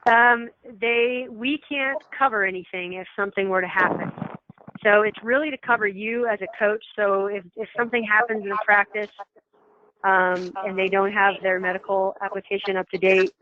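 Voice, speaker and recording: A woman's voice: medium pitch, fairly even intonation, moderate pace, crowd noise. A woman briefing coaches on a conference call in a chatty way, with many ums and repeated words. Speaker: staff member briefing coaches. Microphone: phone. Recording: tinny phone line.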